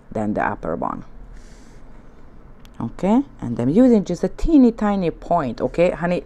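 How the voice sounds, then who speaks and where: Slow, gentle Hungarian-accented woman teaching. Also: very deep voice. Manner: slow, gentle, coaxing instructions with frequent "okay?". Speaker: nail art instructor. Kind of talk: relaxed tutorial narration while working. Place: indoor nail studio desk, hands on camera from above.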